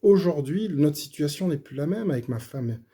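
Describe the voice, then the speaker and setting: A middle-aged man, slightly hoarse, gives a personal faith testimony in a halting, casual way with many fillers and restarts. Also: fairly high pitch, animated, dark tone.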